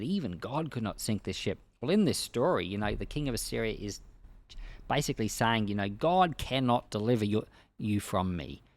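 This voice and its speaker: Male pastor, mid-pitched, conversational Bible teaching in plain words, dry recording. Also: no room sound. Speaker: pastor and Bible podcast host. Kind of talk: informal Bible commentary and teaching.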